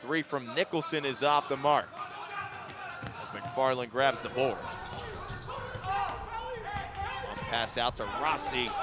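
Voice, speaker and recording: A man giving live basketball play-by-play as the announcer. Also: fairly high pitch. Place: indoor basketball gym. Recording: noisy gym, bouncing balls. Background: loud game noise, ball bounces.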